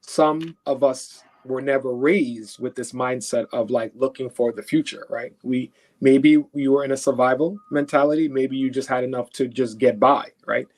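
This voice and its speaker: Male expert guest from Haiti, mid-pitched, conversational and emphatic, explains by example. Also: fairly even intonation, moderate pace, hardly any pauses, darkish tone. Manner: explains through imagined first-person quotes; emphatic, often checking with "right?". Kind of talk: conversational interview answers.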